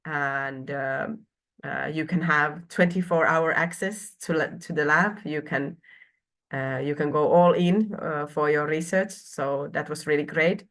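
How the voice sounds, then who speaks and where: A woman's voice: sits low, measured pace, a bit bright. A woman from Finland in her thirties presenting a university program online, with frequent hesitations and filler sounds.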